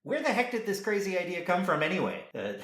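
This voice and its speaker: A man's voice: high voice, wildly swooping pitch, quick pace, a bit bright, slight background noise. A man acting as guest interviewer on a podcast, chatting in a rambling, enthusiastic way. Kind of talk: casual interview chat. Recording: roomy, echoing sound.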